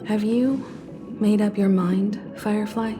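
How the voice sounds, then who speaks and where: A woman playing a composed, serious, caring expedition companion in a video game, speaking calmly and formally. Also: mid-range voice, a little slow, lightly acted. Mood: calm concern. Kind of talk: dramatic video game voice acting. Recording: game music behind the voice.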